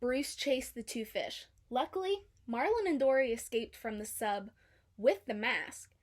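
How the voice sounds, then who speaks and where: A woman with a fairly high voice reads a children's story aloud. Her delivery is scripted storybook narration. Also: measured pace. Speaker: storybook reader.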